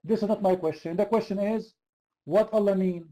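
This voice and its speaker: A man's voice: sits high, steady tone, moderate pace, mellow. A man hosting a heated online debate, pressing the same question again and again. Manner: insistent, repeats the same question. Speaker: debate host.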